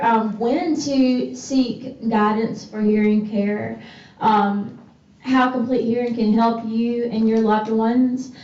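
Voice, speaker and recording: A woman's voice: a little high, a little mellow. A woman hosting an event and introducing the next speaker, hesitant with many ums. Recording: roomy, distant, dull sound.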